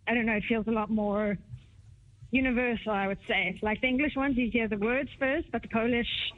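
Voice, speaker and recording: A woman's voice: on the high side, fairly even intonation, brisk. A woman calling in to a radio show, earnestly asking her question and thinking aloud as she goes. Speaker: caller. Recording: tinny phone line.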